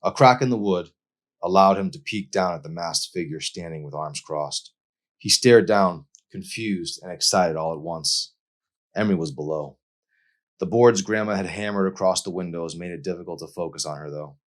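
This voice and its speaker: Deep-voiced man reading a novel. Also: moderate pace. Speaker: author reading own novel aloud. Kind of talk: dramatic audiobook narration.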